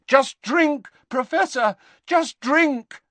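A man's voice: very high voice, very animated, takes it slowly, a bit bright, slightly rough, dry recording, voice pushed up, heavily acted. A man voicing a desperate, frightened teenage boy wizard in an audiobook, urgent and breathless, with a cracking voice. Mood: desperate coaxing. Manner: urgent, coaxing repetition.

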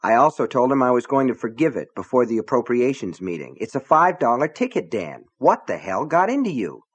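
A calm, steady small-town sheriff, as a man puts him on, speaking evenly and without heat. He is patient and in control. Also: mid-range voice, a little fast, breathy edge. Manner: calm, even.

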